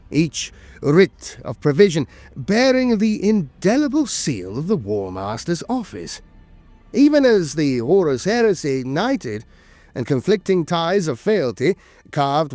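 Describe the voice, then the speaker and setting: A man's voice: fairly high pitch, a little mellow, no room sound. A man narrating scripted lore as a storyteller, with a grave, dramatic delivery.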